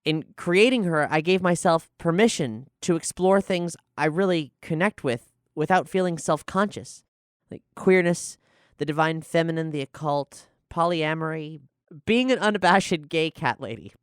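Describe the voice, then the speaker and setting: Clear-voiced woman, candid and reflective. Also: low voice, normal speed, no room sound, clean studio sound. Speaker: show creator and writer answering questions. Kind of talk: casual reflective Q&A chat.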